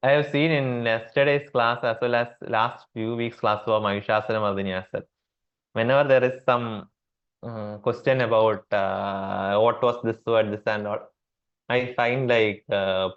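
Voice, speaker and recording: A man with an Indian accent teaching a class on Sanskrit verses, repeating each term slowly with many fillers. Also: fairly low pitch, steady tone, highs cut off. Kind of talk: explanatory class lecture.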